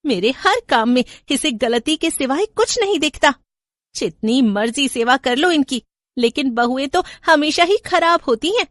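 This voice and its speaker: A woman voicing an excitable, anxious young daughter-in-law in a horror story, with an emotive, exclaiming manner. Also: sits high, unhurried, no echo, studio quality, pitched above the performer's own voice. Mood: resentful.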